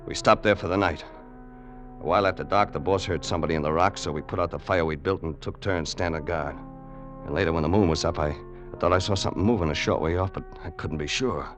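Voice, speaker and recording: A man's voice: low-pitched, close to an everyday voice. An actor playing a tough, wisecracking crook who narrates his story colloquially in old radio drama style. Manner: colloquial, hard-boiled. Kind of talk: 1940s-50s radio acting, first-person narration. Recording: vintage radio drama recording with music.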